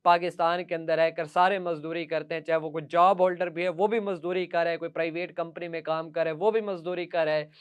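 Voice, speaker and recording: High, clear-voiced Pakistani man presenting news. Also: little pitch movement, quick pace, hardly any pauses. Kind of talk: news commentary addressed to viewers.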